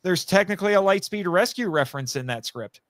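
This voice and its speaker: A man chatting casually as a podcast guest, animated, often interrupting himself and thinking out loud. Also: high-pitched, fast, slight background noise. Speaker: guest, audio drama writer and voice actor. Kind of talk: casual fan chat.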